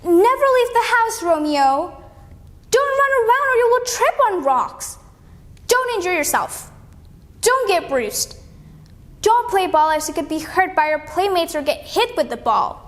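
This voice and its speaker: A woman's voice: very high-pitched, animated, a little slow, fairly bright tone, pitched above the performer's own voice, full character voice. A woman voicing an overprotective storybook mother, emphatic and fussing over her son. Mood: anxious warning.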